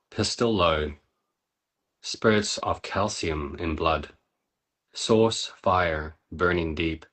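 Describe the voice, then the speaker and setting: A man with a deep voice hosts a poetry reading. He is casual and appreciative, setting out his phrases in a measured way with small pauses. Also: fairly bright tone, slightly rough.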